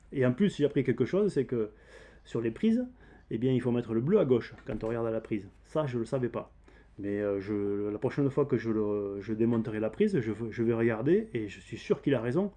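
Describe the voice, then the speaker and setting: A man's voice: medium pitch, warm tone. A man with a southern French accent talking casually and unscripted as a DIY channel host, explaining things in a hesitant, rambling way. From south of France. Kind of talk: casual unscripted vlog talk.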